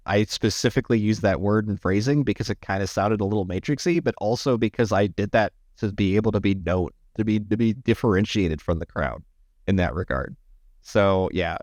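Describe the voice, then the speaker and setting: A man chatting casually to his livestream viewers, rambling with many restarts and sounding slightly hoarse after hours of talking. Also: fairly low pitch, darkish tone, no echo, clean studio sound. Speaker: livestreamer and AI art tutorial maker.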